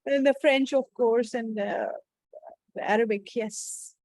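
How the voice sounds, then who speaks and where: A woman's voice: on the high side, a little slow, a little mellow, dry recording. A woman whose first language is Urdu, chatting hesitantly on a video call and stammering as she starts her sentences. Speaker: meeting participant. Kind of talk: casual video-call chat.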